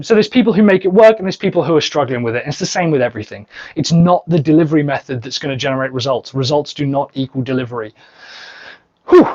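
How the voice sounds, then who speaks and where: A man coaching on sales in a live training, blunt and emphatic, swearing freely and going hoarse by the end. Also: on the high side, a little mellow, muffled recording. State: losing his voice. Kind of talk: live training answering viewer questions.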